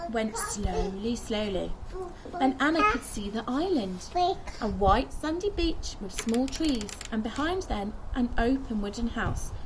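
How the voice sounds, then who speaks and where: A young woman reading a children's story aloud expressively, acting out the dialogue like a primary school teacher. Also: fairly high pitch. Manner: animated, acts out dialogue. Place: outdoors in a garden by a wooden fence. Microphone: camera a short distance away. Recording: noisy outdoor recording with clicks.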